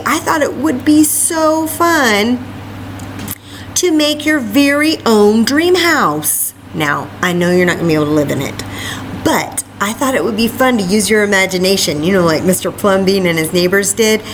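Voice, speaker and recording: A woman hosting a children's library story time, reading a picture book aloud with animated, exclamatory asides straight to her young listeners. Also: medium pitch, measured pace, a little hiss or hum, low-quality recording.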